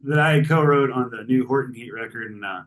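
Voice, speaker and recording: Gravelly man on a video call. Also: medium pitch, brisk, rough recording. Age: 40s. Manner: relaxed, rambling, with frequent fillers. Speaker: guest musician. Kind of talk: casual interview chat. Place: hotel room on tour.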